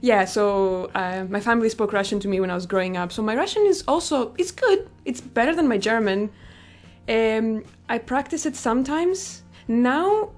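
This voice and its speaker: A woman's voice: on the high side, lively intonation, normal speed, darkish tone. A young woman from Greece, a language teacher, talking casually in an interview over a video call. She is chatty and modest, and laughs easily. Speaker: guest, Greek teacher.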